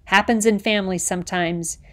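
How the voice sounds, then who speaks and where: A woman reading an audiobook, voicing a blustering, bigoted middle-aged male island policeman who lectures in rough, folksy grammar. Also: medium pitch, fairly even intonation, a little fast, fairly bright tone, natural voice. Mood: lecturing.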